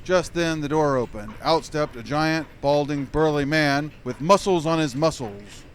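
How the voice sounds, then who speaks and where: An actor playing a clueless, quirky dad detective, narrating in an earnest, scene-setting way for a comic audio drama. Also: male voice, fairly high pitch, a little slow, slight background noise, close to an everyday voice. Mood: wry narration. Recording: faint music under the voice.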